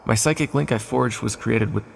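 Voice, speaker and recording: A man voicing an ancient imprisoned villain in an ASMR roleplay, sly, lonely and tenderly flirtatious, speaking in an intimate, teasing, musing way with dramatic pauses. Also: mid-range voice, gravelly, husky. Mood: pleased. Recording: long reverberant echo.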